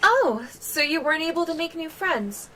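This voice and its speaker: A woman's voice: extremely high pitch, expressive, moderate pace, pushed and bright, slight background noise, heavily acted. A woman voicing an eager, naive young female assistant in a game, bubbly and earnest. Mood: cheerful.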